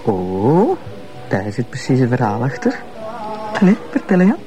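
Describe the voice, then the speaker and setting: A tipsy, confiding Flemish wife, music behind. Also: female voice, extremely low pitch, huge pitch swings, unhurried, exaggerated voice. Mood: teasing, tipsy. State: slightly tipsy. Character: tipsy, teasing, confiding wife of the police commissioner. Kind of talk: television crime drama acting.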